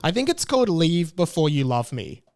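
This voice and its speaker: A man's voice: on the high side, big pitch swings, normal speed. A young man with an Australian accent hosting a podcast, talking in a chatty, jokey, opinionated way. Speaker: podcast host. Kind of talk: casual podcast monologue.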